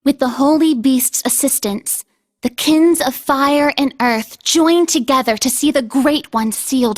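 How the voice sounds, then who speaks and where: Female game narrator, higher voice, very slow and grave, telling old myths with solemn weight. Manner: solemn, measured storytelling. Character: solemn woman recounting an ancient legend. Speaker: storyteller in a video game cutscene. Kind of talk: scripted narration of a legend.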